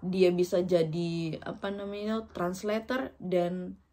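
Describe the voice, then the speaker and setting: A woman's voice: lowish voice, fairly even intonation, slow, dark tone. A woman in her thirties from Indonesia, talking directly to her viewers in an emotional, personal vlog, often breaking into laughter. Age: 30s. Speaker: vlogger.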